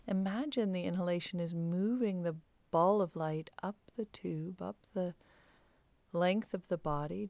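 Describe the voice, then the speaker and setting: Slow, low-voiced woman guiding meditation. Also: no echo, highs cut off. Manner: calm, gentle, unhurried instructing with long pauses. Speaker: meditation teacher. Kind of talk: guided meditation, soft spoken instruction.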